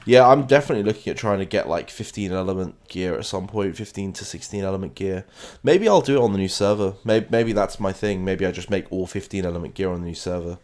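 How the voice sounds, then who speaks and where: A man's voice: deep voice, fast talker, hardly any pauses, darkish tone. A man with a British London accent giving casual, rambling game commentary as a streamer and chatting with viewers as he plays. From London, England. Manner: chatty, rambling, answering viewers while playing. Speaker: gaming streamer.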